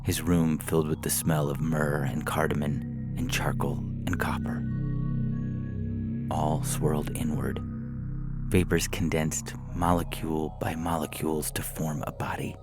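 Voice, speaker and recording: A man reading scripted narration as a story podcast's reader, in a theatrical, deliberate manner. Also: a bass voice, little pitch movement, vocal fry. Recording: music behind the voice.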